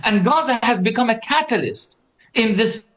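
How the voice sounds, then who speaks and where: Panel speaker: man from Palestine, fairly high voice, impassioned, repeats key words to drive them home. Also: animated, moderate pace, a bit bright. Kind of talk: conference speech.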